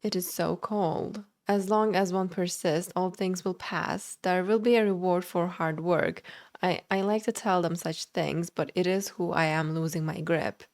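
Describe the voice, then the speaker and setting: A woman livestreaming a video game, commenting and reading the in-game text aloud. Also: fairly low pitch. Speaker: game streamer.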